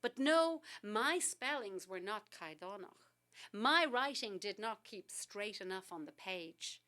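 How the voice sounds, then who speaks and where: Soft-spoken woman poet reading. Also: medium pitch. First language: English. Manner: soft, halting in speech, expressive in verse. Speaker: poet reading her work. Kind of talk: poetry reading on a talk show.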